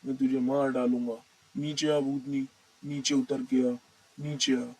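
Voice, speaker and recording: Woman doing a husky, angry young man. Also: rumbling low, level tone, a little slow, pitched below the performer's own voice, exaggerated voice, low-quality recording. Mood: angry challenge. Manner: loud, demanding, threatening. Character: angry, bold young man challenging a ghost.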